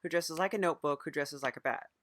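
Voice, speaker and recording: Lowish-voiced woman, casual interviewer. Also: quick pace, a bit bright, no echo, clean studio sound. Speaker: podcast interviewer. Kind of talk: improvised mock interview, casual.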